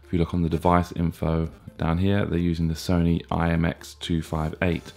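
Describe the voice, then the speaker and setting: A man in his thirties with a chesty, hoarse cold voice, casually reviewing a gadget with plenty of fillers and restarts. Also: deep voice, moderate pace. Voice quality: gravelly. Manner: relaxed, plain talk with frequent fillers and restarts. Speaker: tech reviewer.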